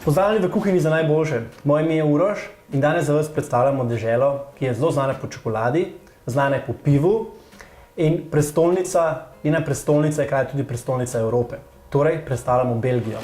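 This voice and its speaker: A man's voice: on the high side, a little mellow. Chatty cooking show host man, echoey room. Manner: chatty and interviewing, halting in English. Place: kitchen studio. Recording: echoey studio kitchen.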